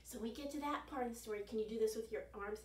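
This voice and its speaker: A woman's voice: on the high side, very fast, heavy background noise. A woman in her sixties hosting an interactive children's storytime, encouraging listeners to join in, recorded at home by a distant microphone. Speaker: children's library storytime host. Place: carpeted living room at home. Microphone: distant camera microphone. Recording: roomy, mic a few metres away.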